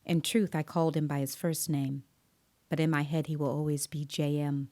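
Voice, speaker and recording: A woman playing a composed, observant child interviewer, narrating an audio drama in a measured, deliberate way. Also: low voice, little pitch movement, mellow, close to an everyday voice. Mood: reflective. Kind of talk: scripted dramatic first-person narration. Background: musical scoring.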